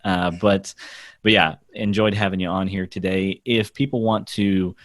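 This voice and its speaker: A man's voice: low-pitched, fairly even intonation, moderate pace, dry recording. A man hosting a podcast, joking dryly and leading the conversation. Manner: dry, joking, wraps up the interview. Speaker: podcast host. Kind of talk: casual podcast chat.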